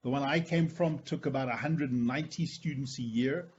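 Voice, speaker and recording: Brief: friendly professor with a blended Irish-South African accent, husky, over a lo-fi call. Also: male voice, mid-range voice, fairly quick. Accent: Northern Irish, Irish and South African mix. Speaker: veterinary professor. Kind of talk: webinar presentation.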